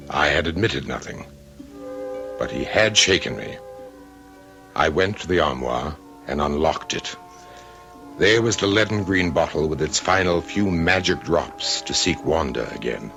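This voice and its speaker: An actor playing a haunted, self-doubting former soldier in a radio mystery, brooding and dramatic in delivery. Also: male voice, very deep voice, exaggerated voice. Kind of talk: first-person radio drama narration.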